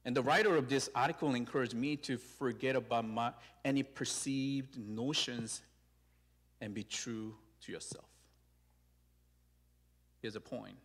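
A man with a Korean accent gives a friendly, conversational sermon at a mid-range pitch. He leads the congregation in call-and-response and speaks to them casually. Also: unhurried, long pauses, a bit bright. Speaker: site pastor. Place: church sanctuary.

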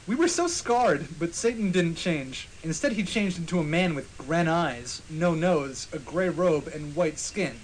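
A man's voice: on the high side, expressive, unhurried, few pauses, slight background noise, low-quality recording. A man narrating a comic dramatic reading of fan fiction as a podcast host, in a mock-serious manner.

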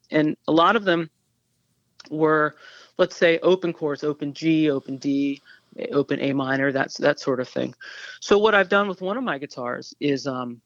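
A man in his early fifties, a guest musician in a casual interview, talking in a rambling way with many restarts and fillers. His voice sounds high for a man. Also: a bit bright, dry recording, dull audio. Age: 50s. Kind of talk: casual interview about guitars.

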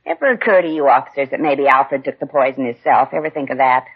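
A woman's voice: very deep voice, fast talker, slightly rough, exaggerated voice. An actress playing a weary, tart older mother in a 1950s radio crime drama, plain-spoken and grudging. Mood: tart, challenging. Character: weary, tart old mother shielding her grown daughter. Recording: dull lo-fi 1950s radio recording.

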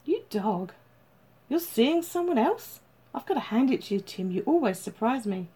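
A woman voicing an excitable, teasing male police detective with a brash, matey manner, in an audiobook story. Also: a little high, normal speed, slightly rough, pitched above the performer's own voice. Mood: delighted, teasing.

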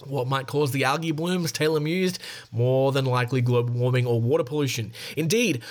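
A man hosting a podcast, reading a news article aloud with wry, sarcastic asides and frequent self-corrections. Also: a little high. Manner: wry, sarcastic running commentary. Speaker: podcast host.

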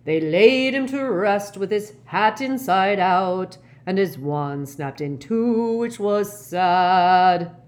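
A woman's voice: fairly high pitch, animated, voice pushed up. Woman acting a flustered, wavering old male professor. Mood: maudlin singing. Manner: flustered, halting, genteel. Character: tearful, tipsy, frightened old male professor.

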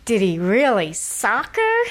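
A woman playing a cheeky, nosy young girl with an eager, excitable manner in old-time radio comedy style. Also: a little high, slow, exaggerated voice. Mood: curious, pleading.

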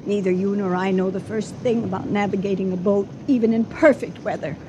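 A woman's voice: mid-range voice, steady tone, brisk, close to an everyday voice. An actress playing a fretful, imaginative elderly wife in a radio drama, hesitant and deferential to her husband. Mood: resigned. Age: older. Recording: noisy 1980 radio drama recording.

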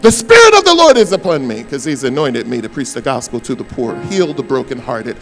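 An older man, a pastor preaching a sermon, his voice hoarse, swinging between loud bursts and soft asides. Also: mid-range voice, sing-song, a little fast, faint music behind.

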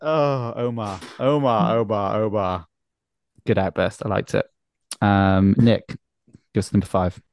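A man hosting a casual soccer podcast, chatting excitably and repeating phrases for emphasis. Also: on the low side, a little slow, dry recording. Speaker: podcast host. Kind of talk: casual banter about soccer. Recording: remote video-call podcast recording.

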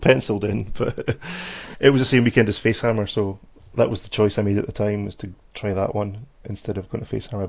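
Gravelly Scottish man hosting a call. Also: fairly low pitch, darkish tone, muffled recording. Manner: chatty, laughs often, many restarts. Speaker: podcast host. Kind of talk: casual chat on an online call. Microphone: remote call microphone.

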